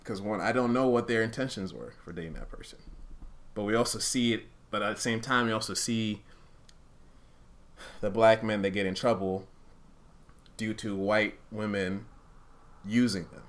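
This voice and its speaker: A man's voice: fairly low pitch, moderate pace, pauses often. A man speaking casually and candidly as a podcast co-host, thinking aloud and often hedging as he reasons through his points. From Uganda-born, raised in Rwandan culture.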